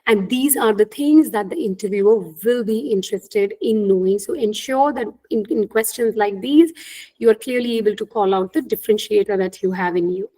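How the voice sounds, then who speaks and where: A woman's voice: medium pitch, normal speed, mellow, rough recording. Indian woman coaching on interviews. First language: Hindi. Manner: earnest, frequent "right?" tag questions. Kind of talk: webinar talk on job interviews.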